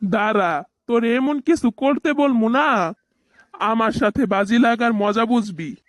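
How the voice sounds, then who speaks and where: A very high-pitched cartoon village boy played by a man, smug and bossy. He taunts and gives orders in everyday colloquial speech. The sound is dry and close. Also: unhurried, dry recording. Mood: smug, teasing. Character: bossy, teasing young village boy who wins a bet. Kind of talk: cartoon voice acting.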